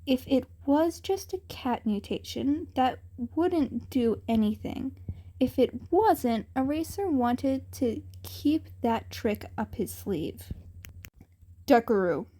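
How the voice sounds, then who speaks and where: A woman's voice: high-pitched, expressive, slow pace, mellow. A woman reading scripted fan fiction as a storyteller.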